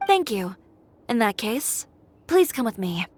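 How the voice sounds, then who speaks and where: A woman's voice: fairly high pitch, sing-song, measured pace, darkish tone, slightly rough, exaggerated voice. A woman playing a blunt, self-assured young fashion designer in a video game, composed and direct. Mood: businesslike.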